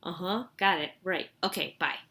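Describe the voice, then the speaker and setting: A woman's voice: on the low side, moderate pace, pushed and bright. Young girl, read by a woman, confident, clever, decisive, children's storybook. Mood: businesslike.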